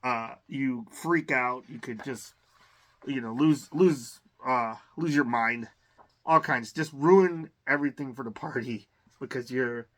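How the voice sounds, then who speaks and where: A man with a bright, clear-edged voice at an average pitch talks casually through an unboxing. He rambles and hesitates as he thinks aloud, sounding like someone slightly under the weather. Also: a little slow. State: under the weather, occasional cough. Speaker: host of a collector's unboxing channel.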